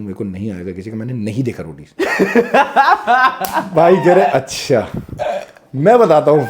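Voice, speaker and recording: A man in his thirties, a podcast guest, telling stories in a casual, animated way, swearing freely and acting out the people in them. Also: mid-range voice. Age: 30s. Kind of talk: casual storytelling, mixing Hindi and English. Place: podcast studio.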